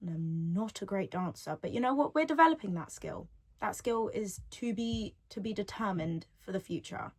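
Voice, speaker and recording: A woman's voice: medium pitch, expressive, normal speed, slightly rough. An eighteen-year-old woman hosting her own solo podcast from home, chatting and rambling freely, often repeating her own phrases, recorded on a device's built-in mic with no podcast microphone. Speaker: podcast host.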